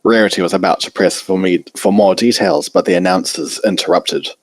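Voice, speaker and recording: A man's voice: low voice, steady tone, a little fast, no echo. A man reading a fan fiction story aloud as its narrator.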